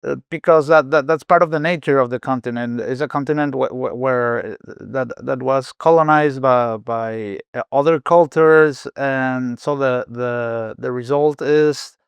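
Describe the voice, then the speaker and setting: A man's voice: mid-range voice, measured pace, few pauses, clean tone, no echo. A man who grew up in Venezuela, a chef speaking as a podcast guest, thoughtful and full of restarts and fillers. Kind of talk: podcast interview.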